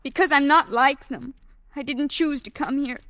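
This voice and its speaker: A woman's voice: sits high, normal speed, pushed and bright, dry recording. An actress playing a timid, naive young woman held captive as a maid, earnest and girlish, in old-time radio drama style. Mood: timid, fearful.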